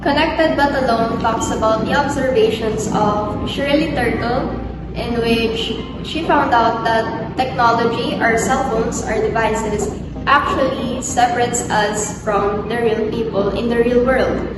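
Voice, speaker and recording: An eighteen-year-old woman with a Filipino accent, a student sharing her views in a measured way. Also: a little high, fairly even intonation, heavy background noise. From Philippines. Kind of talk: reaction video commentary. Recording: distant, echoey hall with music.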